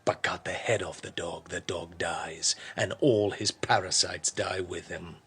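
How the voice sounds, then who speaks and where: A man's voice: deep voice, steady tone, a little slow, fairly bright tone, a little hiss or hum. An actor playing a contemptuous, tyrannical king in a radio drama, speaking with scornful, emphatic declamation. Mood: cold, ruthless. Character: contemptuous, tyrannical male king obsessed with order.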